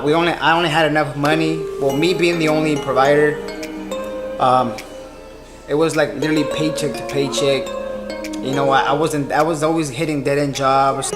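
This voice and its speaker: Young guy, chatty vlogger, music going behind him. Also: fairly high pitch. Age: 20s. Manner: rambling, with many fillers and restarts. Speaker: barber and vlogger. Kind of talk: casual chat.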